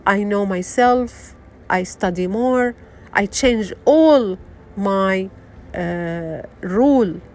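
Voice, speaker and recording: Slow, earnest woman with a Syrian accent. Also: mid-range voice. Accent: Syrian Arabic. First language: Arabic. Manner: halting, many fillers and restarts, earnest. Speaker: wellness vlogger. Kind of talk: unscripted motivational talk to viewers.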